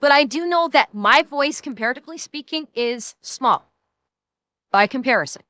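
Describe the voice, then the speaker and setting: A young woman talks straight to her live chat, indignant and blunt, with the occasional curse. Her voice is high and bright with a smooth, crisp tone. It is recorded close on a desk mic in a dry room. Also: animated, normal speed, dry recording. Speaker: streamer. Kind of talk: live stream chat with viewers.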